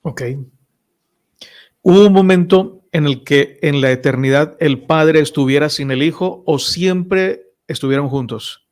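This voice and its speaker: A man in his mid-forties with a somewhat high voice. He speaks as a co-interviewer in a casual, conversational style. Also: unhurried, darkish tone. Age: mid 40s.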